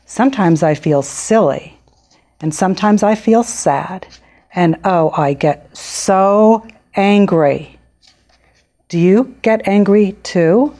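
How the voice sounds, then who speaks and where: A woman reading aloud as an excitable six-year-old girl from a picture book, happy but quick to anger. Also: medium pitch, voice pushed up. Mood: cheerful, then angry, exclaiming.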